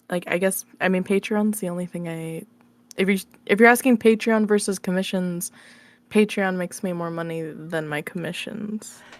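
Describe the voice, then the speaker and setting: A woman's voice: mid-range voice, no echo. A woman chatting casually as an interview guest, informal and tentative, with a self-effacing way of talking. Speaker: interview guest, audio roleplay creator. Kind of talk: casual chat.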